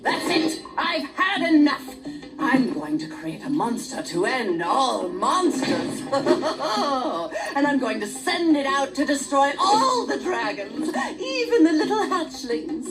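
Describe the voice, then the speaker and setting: An actress voicing a cackling, ruthless sorceress who rants with theatrical glee. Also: female voice, sits high, sing-song, takes it slowly, full character voice, low-quality recording. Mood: gleeful ranting. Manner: gleeful, theatrical villain ranting. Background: game music.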